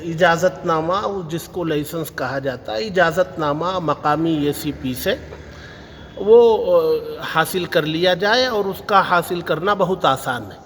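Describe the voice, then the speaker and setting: A man's voice: on the high side, slow pace, a bit bright. A man with an Indian accent giving an explanatory public statement as a community spokesman. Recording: long hall reverb, faint noise.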